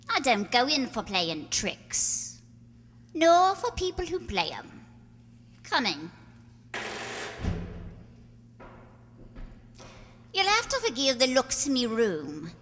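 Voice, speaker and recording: A woman's voice: fairly high pitch, wildly swooping pitch, slow, pauses often, a bit bright, exaggerated voice. Bitter, coarse, scarred slum woman, hall echo. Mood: sly, wary. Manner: coarse, slangy, taunting. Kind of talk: amateur radio play acting. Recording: reverberant hall, faint noise and hum.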